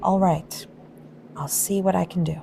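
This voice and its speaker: A woman playing a brisk, overworked receptionist in an audio roleplay, matter-of-fact and politely firm. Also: lowish voice, fairly even intonation, creaky, crowd noise. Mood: resigned.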